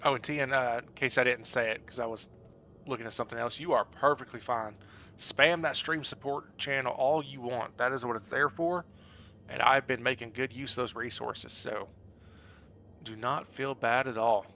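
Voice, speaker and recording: Man, bright crisp-edged voice, lower-middle everyday pitch, casual streamer chat, friendly, thinking aloud, noisy background. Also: fairly even intonation, moderate pace. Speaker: game streamer. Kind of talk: casual livestream chat over gameplay.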